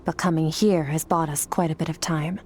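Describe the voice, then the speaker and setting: A woman's voice: low voice, steady tone, normal speed, a little mellow. A woman voicing a cool-headed, aloof mentor in a video game, who speaks in a curt, businesslike way. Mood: explaining.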